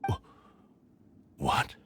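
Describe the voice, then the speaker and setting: An actor playing a weary, proud, regretful old sword spirit in a video game, speaking solemnly and formally. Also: male voice, drawn-out words, fairly bright tone, soft background music. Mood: taken aback.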